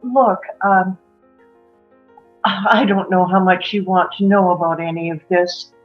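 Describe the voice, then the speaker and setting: A woman playing a guarded, wistful small-town woman who confides old secrets haltingly in a drama table read. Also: lowish voice, steady tone, natural voice. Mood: hesitant, conflicted. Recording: muffled, music underneath.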